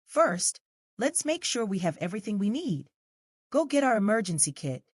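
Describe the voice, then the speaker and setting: A woman voicing a calm, serious and reassuring mother who explains things instructively in a children's storybook. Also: medium pitch, moderate pace, breathy edge, no echo, studio quality, pitched above the performer's own voice.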